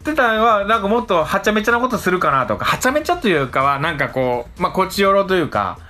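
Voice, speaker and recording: Animated man chatting as radio host. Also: high voice, quick pace, soft background music. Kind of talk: casual radio chat.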